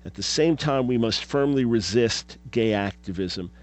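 A man's voice: mid-range voice, animated, a little slow. A man in his fifties hosting a radio talk show, speaking emphatically and asking rhetorical questions. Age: 50s.

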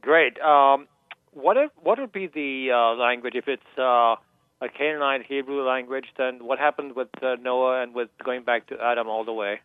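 A man's voice: mid-range voice, moderate pace, smooth, no echo. A man calling in to a radio show, asking questions hesitantly with many fillers, heard over a phone line. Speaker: caller. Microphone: telephone.

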